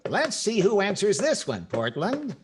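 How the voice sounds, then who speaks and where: A man's voice: sits high, wildly swooping pitch, unhurried, noisy background, heavily acted. An older man with an American accent acting a wry, wordy, playfully pompous host in 1940s radio comedy style.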